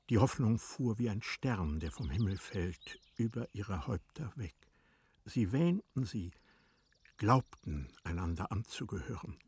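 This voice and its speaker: A man with a husky voice narrating a literary radio play in a dramatic storytelling style. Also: on the low side, a little mellow, no room sound.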